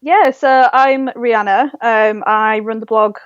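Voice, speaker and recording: A woman's voice: fairly high pitch, fairly even intonation, measured pace, dry recording. A woman in her twenties with a Yorkshire accent chats as a podcast guest, gushing with enthusiasm and scattering ums and likes through her answers. Her voice comes through dry and a little dull, like a lo-fi remote recording. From Leeds, England. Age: 20s. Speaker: guest, blogger. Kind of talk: casual interview chat.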